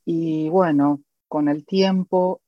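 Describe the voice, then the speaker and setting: A woman from Argentina with an Argentine accent, a guest educator and author speaking earnestly in an interview, pausing often with fillers. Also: low-pitched, steady tone, dry recording, lo-fi sound. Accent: Argentine Spanish. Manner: earnest, reflective, with many pauses and fillers.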